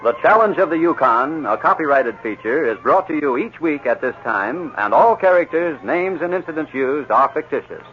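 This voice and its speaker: A man's voice: a little high, lively intonation, moderate pace, few pauses, over music. A man narrating and announcing a 1940s radio adventure in a dramatic scripted style. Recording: dull 1940s radio recording.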